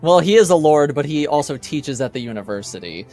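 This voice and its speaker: A man's voice: on the high side, animated, fairly quick, dark tone, soft background music. A man in a casual tabletop game discussion, explaining things carefully and tentatively. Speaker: tabletop roleplaying game participant.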